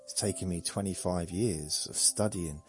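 A man hosting a sleep podcast, talking slowly in a meandering, deadpan, joking way with faint music behind. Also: deep voice. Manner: slow, meandering, deadpan humor. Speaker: podcast host. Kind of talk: rambling sleepy monologue.

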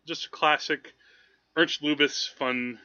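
A man's voice: fairly high pitch, fairly even intonation, takes it slowly, pushed and bright, lo-fi sound. A man talking through films casually as a podcast host, in a rambling, conversational way. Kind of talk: casual film discussion.

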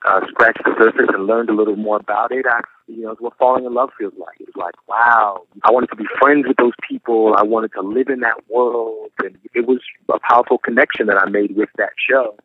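A man's voice: lowish voice, steady tone, no room sound. Man telling stories over a tinny line. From New York, raised in Philadelphia. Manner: thoughtful, unhurried storytelling with small restarts. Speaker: guest actor and singer. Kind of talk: reflective interview answers.

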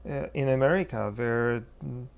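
A man's voice: mid-range voice, normal speed, creaky, clear, resonant tone, muffled recording. A man with a Czech accent speaking as a preacher in a reflective theological discussion, searching for words as he goes. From Czech Republic. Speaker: preacher, interview guest.

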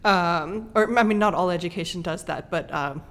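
Lower-voiced woman explaining ideas thoughtfully. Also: normal speed. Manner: thoughtful, builds points in short repeated clauses. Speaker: podcast guest host, creative writing teacher. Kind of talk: conversational discussion, explaining ideas.

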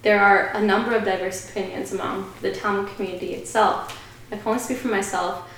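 A woman's voice: medium pitch, fairly even intonation, brisk, fairly bright tone, a little hiss or hum. Earnest woman in a very echoey room. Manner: earnest, reflective opinions. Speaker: podcast guest. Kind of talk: podcast conversation.

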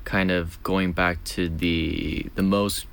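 A man's voice: sits low, fairly even intonation, slow pace, gravelly, fairly bright tone, a little hiss or hum. A man hosting a casual interview, friendly and chatty, backing up his guest with frequent short replies and asking loose, rambling questions. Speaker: interviewer, podcast host.

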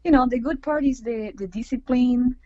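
A woman's voice: on the high side, moderate pace, darkish tone. A young woman from Argentina talks casually about tango in a podcast interview, sprinkling her answers with "like" and "you know." Her voice sounds dry and a little dull, as if recorded with no room echo. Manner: chatty, many fillers and like, you know. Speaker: tango dancer and teacher.

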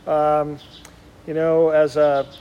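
A man's voice: a little high, flat delivery, slow pace, clean tone, dull audio. A middle-aged man from Wisconsin, a Benedictine monk, giving an informal spiritual conference to oblates, talking conversationally with frequent ums and uhs.

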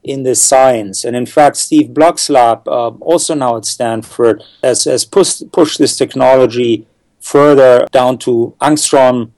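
A man's voice: medium pitch, steady tone, slow pace, a little mellow, dry recording, low-quality recording. A middle-aged man from the Czech Republic, a scientist answering interview questions thoughtfully, with many fillers and repeated words. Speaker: neuroscientist guest.

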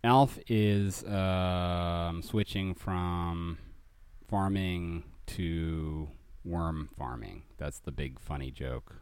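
A man's voice: low-pitched, darkish tone, smooth, no room sound. A man hosting a podcast, chatting casually and wryly about a sitcom episode, rambling and correcting himself as he goes. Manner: rambling, wry, quick to self-correct. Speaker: podcast host. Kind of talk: casual comedic recap chat.

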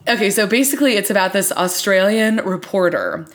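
A woman's voice: mid-range voice, a little fast, fairly bright tone. A woman hosting a podcast in a casual, chatty and emphatic way. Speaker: podcast host.

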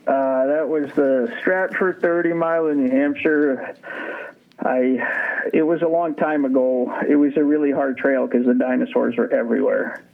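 A man's voice: medium pitch, fairly even intonation, normal speed, creaky. A man in his forties, from Ukraine, a sled dog musher answering interview questions in a laid-back, dry-joking way over a phone line. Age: 40s.

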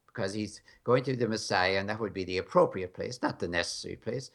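A man's voice: fairly low pitch, fast, creaky. An American man talking as a guest in an interview, frank and emphatic with a wry edge. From United States. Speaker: guest scholar. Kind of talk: conversational interview.

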